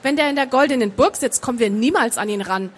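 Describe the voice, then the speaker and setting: A woman's voice: fairly high pitch, fast, natural voice. A woman voicing a stubborn, sarcastic, hard-drinking woman of action in a storybook, blunt and defiant.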